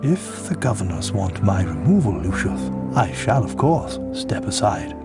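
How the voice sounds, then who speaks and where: A dignified old headmaster from a fantasy game. His low voice is calm, formal and wise. Loud music swells behind it. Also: a little slow, heavily acted. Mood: calm, resolute.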